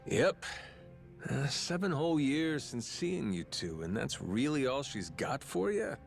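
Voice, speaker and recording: An actor playing a gruff, blunt, wry middle-aged pirate captain with a gravelly voice in a video game cutscene. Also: male voice, medium pitch, sing-song, measured pace, soft background music. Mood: curious, businesslike. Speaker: lead character, captain.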